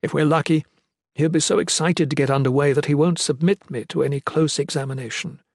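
A man's voice: a little high, fairly even intonation, a little fast, dry recording, voice pushed up. A resolute, self-sacrificing mother as a male narrator plays her speaks earnestly and formally in a fantasy audiobook. The voice is set a little above his normal speech, close and dry. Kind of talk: fantasy audiobook character reading.

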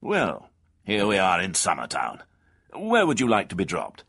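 A man voicing a genteel, cunning old gentleman with a suave, drawling manner. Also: deep voice, huge pitch swings, normal speed, breathy edge, voice pushed down, heavily acted.

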